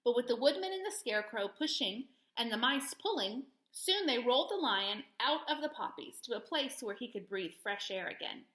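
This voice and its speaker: A woman reading a children's story aloud as a storyteller, with expressive delivery. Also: fairly high pitch, normal speed, hardly any pauses. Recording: echoey room.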